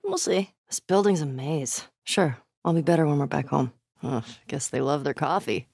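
A young American survivor in a naturalistic video game style. Her voice sits a little low and is husky, recorded very dry. She is tough and sardonic, muttering casually and swearing freely. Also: moderate pace, a little mellow, no room sound, full character voice. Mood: wry, playful. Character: tough, wry, foul-mouthed young woman survivor.

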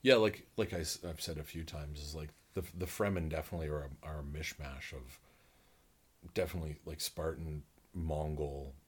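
A man's voice: very deep voice, fairly even intonation, normal speed, breathy edge. A North American man co-hosting a podcast, talking casually and enthusiastically with lots of fillers. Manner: rambling, enthusiastic, heavy use of fillers. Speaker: podcast co-host. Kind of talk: casual conversation.

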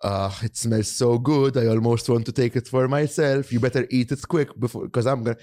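A man's voice: mid-range voice, steady tone, fairly quick, mellow, pitched above the performer's own voice, close to an everyday voice. A man voicing a jovial older male school headmaster who teases a pupil about his lunch. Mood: teasing. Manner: mock-generous, joking.

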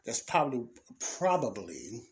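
A man's voice: mid-range voice, huge pitch swings, unhurried, poor audio. A middle-aged man hosting his own audio-only podcast, talking off the cuff in an earnest Bible-teaching way, rambling and repeating himself, with his sinuses clogged. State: congested sinuses. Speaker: podcast host. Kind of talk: unscripted solo talk. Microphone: audio-only podcast recording.

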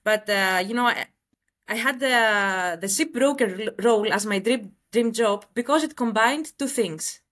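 High-voiced young Greek woman. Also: unhurried, a bit bright. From Greece. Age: late 20s. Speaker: interview guest, shipbroker. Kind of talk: conversational interview answers.

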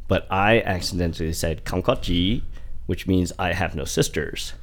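A man in his forties with a very low, deep voice rambles through a casual conversation. He circles back on himself with constant fillers and restarts as he tells stories from growing up in Indiana. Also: steady tone, normal speed. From Indiana, USA. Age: 40s. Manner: rambling, heavy use of "like" and restarts. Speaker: guest.

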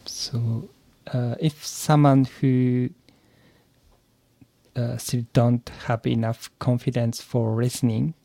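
A man's voice: medium pitch, level tone, takes it slowly, creaky, long pauses, mellow, no echo. A man from Japan with a Japanese accent, an English learner answering interview questions hesitantly, with hums of agreement. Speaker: podcast guest, English learner.

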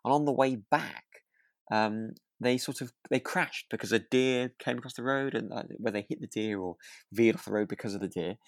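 A man's voice: medium pitch, normal speed, no room sound. A British man co-hosting a podcast, chatting casually in a hesitant, rambling way as he tells stories. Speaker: podcast co-host. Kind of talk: casual chat about a radio drama.

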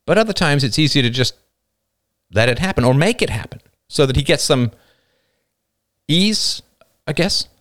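A man plays an anxious young man in an audiobook story, his voice pushed high and swinging widely. He stammers defensively, with pressured, halting speech. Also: expressive, fairly bright tone. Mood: shaky, exasperated.

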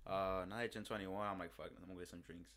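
A man's voice: low voice, steady tone, fast, a bit bright. A man in his early twenties, a podcast guest, chatting in a laid-back way and saying bro a lot. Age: 20s. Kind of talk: casual chat.

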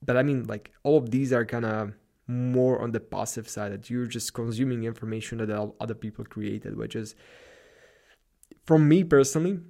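A man with A Slavic accent hosts a solo podcast, talking in a loose, rambling and upbeat way about getting better at life. His voice has a gravelly, creaky edge at a middling pitch. He records alone in his apartment late at night. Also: normal speed, dark tone. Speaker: podcast host. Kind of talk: solo motivational podcast monologue.

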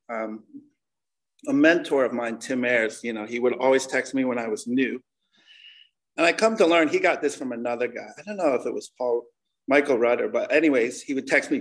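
A man's voice: mid-range voice, rough recording. Casual American man in his forties. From Connecticut, United States. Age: 40s. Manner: casual, candid, conversational, slangy. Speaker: speaker at a recovery meeting. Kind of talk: informal personal testimony.

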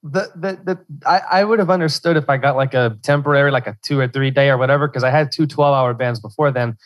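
A man's voice: medium pitch, fast talker. A young man from Louisiana, a podcast guest who talks in loose rambling bursts full of restarts and fillers. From South Central Louisiana. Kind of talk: casual interview chat.

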